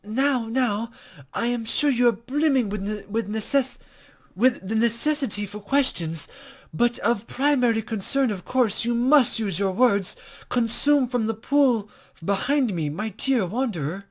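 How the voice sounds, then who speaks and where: A man's voice: very high voice, animated, a little slow, pitched above the performer's own voice, heavily acted. A man voicing a coy, teasing woman rescuer in a visual novel, with playfully doting, formal, flowery speech. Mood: gently commanding.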